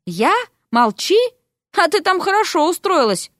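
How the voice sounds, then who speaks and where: A young prince from a storybook, acted by a woman, high in pitch and dryly recorded. He is flippant and whiny, forever indignant and quick to mock. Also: sing-song, dry recording, clean studio sound, full character voice. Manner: indignant, mocking.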